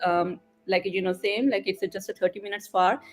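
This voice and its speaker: A woman's voice: medium pitch, fairly even intonation, brisk, faint music behind. A woman making casual small talk on a video call. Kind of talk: casual chat.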